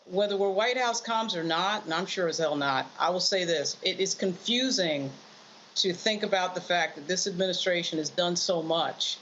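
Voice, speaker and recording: A woman's voice: fairly low pitch, moderate pace, fairly bright tone, lo-fi sound, slight background noise. An American woman giving emphatic, argumentative political commentary off the cuff as a panelist.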